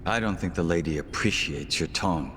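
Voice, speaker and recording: A man acting a coolly polite, composed underworld fixer in an English video game dub, speaking in a measured, understated way. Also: low-pitched, slight background noise. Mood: polite, formal. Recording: loud music under the voice.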